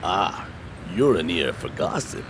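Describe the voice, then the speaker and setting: A man acting in an audio drama as a gentle, wise older family friend with a measured, folksy manner. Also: low-pitched, very animated, a little hiss or hum, full character voice. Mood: familiar.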